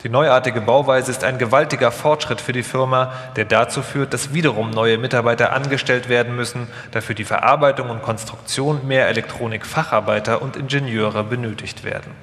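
A man's voice: medium pitch, steady tone, brisk, hardly any pauses, fairly bright tone. A man reading scripted documentary narration live, as the narrator of a radio feature. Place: live stage in a large hall. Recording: echoey hall with long reverb.

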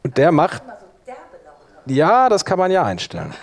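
A man leading a workshop, explaining informally and thinking aloud while he operates the software. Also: on the high side, huge pitch swings, measured pace. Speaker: workshop instructor.

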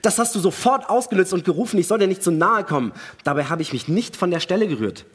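Male actor as distrustful space crewman, husky voice, deliberate argumentative delivery, radio drama read in a reverberant hall. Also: sits high, animated. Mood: agitated, defensive. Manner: argumentative, methodical, deliberate reasoning. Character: male astronaut, suspicious, coldly calculating, accusing his crewmate. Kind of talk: live dramatic reading of a sci-fi radio play. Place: large hall, stage reading. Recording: echoey hall, long reverb.

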